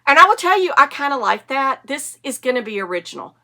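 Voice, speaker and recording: A woman's voice: high-pitched, big pitch swings, fast, pushed and bright. A woman, sniffly from a cold, hosting a casual knitting podcast in a chatty, enthusiastic, rambling way. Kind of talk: casual show-and-tell chat.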